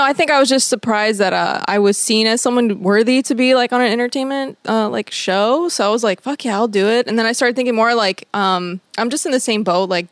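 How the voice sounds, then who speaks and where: A woman's voice: fairly high pitch, no echo. Woman on a podcast, rambly and unsure, lots of ums, dry close mic. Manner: rambling, hesitant, many fillers. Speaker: podcast co-host. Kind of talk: casual podcast chat. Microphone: headset.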